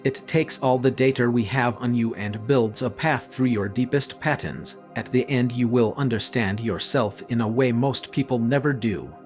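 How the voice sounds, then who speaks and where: A man acts out a self-justifying director of an experimental gallery in a dramatized story. His mid-pitched voice is measured and clinical, always persuading. Music plays softly behind him. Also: close to an everyday voice. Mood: measured persuasion.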